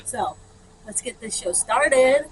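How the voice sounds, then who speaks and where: A woman's voice: on the high side, animated, slow, very noisy. A woman chatting casually and thinking aloud as she demonstrates for a garden vlog. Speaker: garden vlogger.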